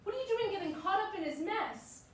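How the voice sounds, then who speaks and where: A woman's voice: extremely high pitch, expressive, fairly quick. A woman playing an exasperated, confrontational young wife in a staged play reading. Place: stage in a theatre hall. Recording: very echoey distant stage recording, faint noise.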